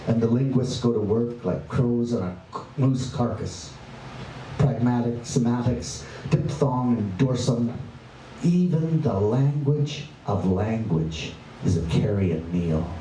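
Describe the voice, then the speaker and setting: A man performing his spoken word poetry live, with a playful, wry and bawdy delivery, jumping in pitch and stretching out words. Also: animated, takes it slowly, dark tone, slight background noise. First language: English. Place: performance venue. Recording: echoey room, voice from far off, faint ambience.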